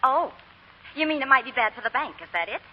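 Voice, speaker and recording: An actress playing a headstrong, spoiled young belle in a 1940s radio drama, theatrical and coquettish. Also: female voice, sits high, animated, fast. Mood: sarcastic. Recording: muffled 1940 radio broadcast, hall reverb.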